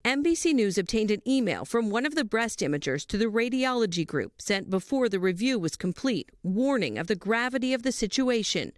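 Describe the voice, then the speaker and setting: A woman's voice: fairly high pitch, very animated, fairly quick, few pauses, dry recording, studio quality. A woman with an American accent reading a scripted news report as narrator.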